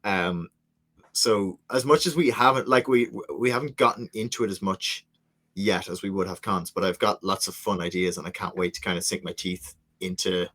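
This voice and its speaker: A man's voice: deep voice, fairly even intonation, normal speed, fairly bright tone. A man co-creating a fiction podcast, chatting casually and rambling with many fillers. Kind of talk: casual chat.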